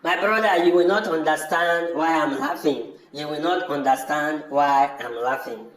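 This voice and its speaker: A man's voice: on the high side, moderate pace, fairly bright tone, echoey room, lightly acted, poor audio. A man in an amateur audio drama playing a brother, speaking in an emphatic and repetitive way. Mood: dismissive, fed up. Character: welcoming but disillusioned brother, fed up with farming.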